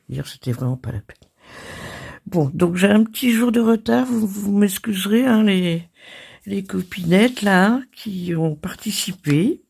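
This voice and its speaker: A French woman hosting her crafting channel, hoarse from a cold, chatting casually and laughing at herself. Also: lowish voice, mellow. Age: older. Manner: chatty and self-deprecating, laughing and coughing. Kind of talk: casual chat.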